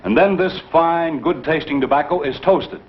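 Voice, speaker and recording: A man's voice: fairly high pitch, normal speed. A man reading a 1950s commercial as an announcer, on a muffled old broadcast. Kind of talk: 1950s advertising read.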